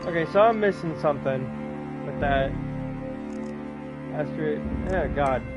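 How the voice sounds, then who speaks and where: A man's voice: on the high side, slow. A man doing casual video game commentary, muttering and thinking aloud as he plays. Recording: dull lo-fi mic with music behind. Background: game music.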